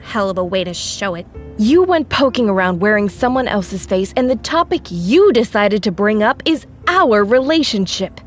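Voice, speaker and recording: Woman as defiant younger sister, biting sarcasm, rebellious, mid-pitched, audio drama, faint music. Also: expressive, normal speed, close to an everyday voice. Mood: bitter sarcasm.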